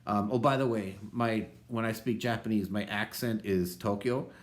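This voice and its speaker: A man's voice: lowish voice, moderate pace. A middle-aged man with a Minnesota accent, an associate pastor giving a casual devotional talk, full of ums and restarts. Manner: relaxed, conversational, many ums and restarts.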